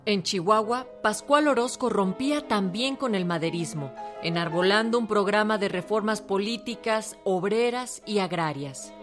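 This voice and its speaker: A woman's voice: mid-range voice, moderate pace, few pauses. A woman giving serious, formal scripted narration for a historical documentary. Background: clear background music.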